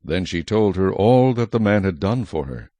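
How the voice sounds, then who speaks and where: A man narrating scripture from a script in a measured, solemn manner. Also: low-pitched, expressive, dark tone, no room sound.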